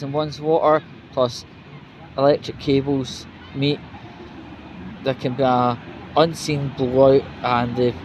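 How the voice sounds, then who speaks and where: A Scottish man rambling casually as he vlogs outdoors, sweary and irreverent, with halting pauses. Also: mid-range voice. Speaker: vlogger. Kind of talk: rambling casual walking vlog, chatty. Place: outdoors on a city street. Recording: noisy outdoor street with traffic.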